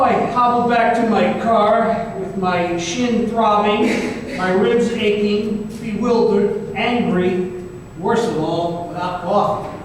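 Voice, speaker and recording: Man playing a high-voiced, weary radio detective, echoey hall. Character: world-weary, sardonic, paranoid male private investigator, narrating his case. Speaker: narrator and lead detective. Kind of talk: old time radio drama performance. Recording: very echoey hall, distant mic, faint noise.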